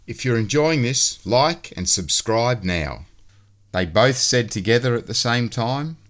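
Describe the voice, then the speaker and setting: A man reading a children's storybook aloud as narrator, in an expressive and deliberate way. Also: medium pitch.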